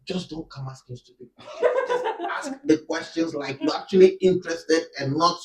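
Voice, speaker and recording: A man's voice: a little high, moderate pace, distant mic. Laughing Ghanaian man telling stories. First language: a Ghanaian language. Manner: animated storyteller, laughs often. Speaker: panel guest. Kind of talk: casual chat. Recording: echoey room, set back from the mic.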